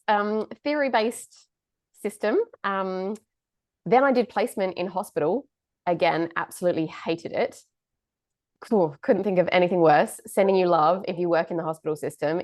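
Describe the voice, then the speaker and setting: A woman's voice: fairly low pitch, no room sound, clean studio sound. A woman in her thirties from England with a slightly Australian-tinged accent, co-hosting a podcast in candid, casual chat and swearing freely. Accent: Australian-tinged English. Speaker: podcast co-host.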